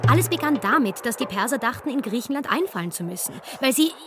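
A woman's voice: a little high, animated, quick pace, over loud music, close to an everyday voice. A woman playing an archaeologist who narrates history in a radio drama, wry and matter-of-fact.

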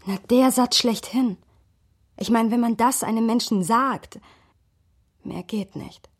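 An actress playing a thoughtful, passionate literary young woman in a radio drama, speaking in reflective, earnest, measured phrases. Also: fairly high pitch, breathy edge, dry recording, clean studio sound, heavily acted. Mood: earnest, intimate. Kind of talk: radio drama narration and dialogue.

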